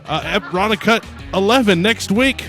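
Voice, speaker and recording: Young guy hosting a podcast, booming voice, rambly and laughy, faint music in the back. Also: expressive, normal speed, fairly bright tone. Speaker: podcast host. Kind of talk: casual chat.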